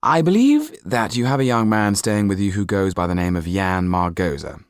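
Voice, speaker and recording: A man voicing a well-bred, kindly gentleman banker in an audiobook, measured and polite with quiet authority. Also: lowish voice, sing-song, no room sound, clean studio sound. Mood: polite, firm.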